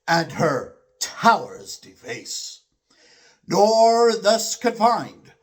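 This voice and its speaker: Male reader for classical epic verse, theatrical and declamatory, extremely slow with long pauses and highly animated intonation. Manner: declamatory, theatrical, with drawn-out pauses between phrases. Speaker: poetry reader.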